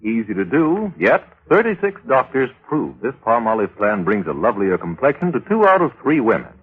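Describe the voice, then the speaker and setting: American male radio pitchman, 1940s sales read, very lively swings, slightly rough tone, tinny band-limited sound. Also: a little fast. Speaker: radio commercial announcer. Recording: muffled, tinny old radio broadcast.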